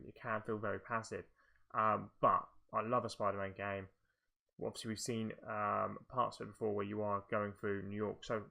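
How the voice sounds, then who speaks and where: A man's voice: on the low side, creaky, fairly bright tone. A man giving casual video game commentary, thinking aloud in a hesitant, rambling way. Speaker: video game show presenter.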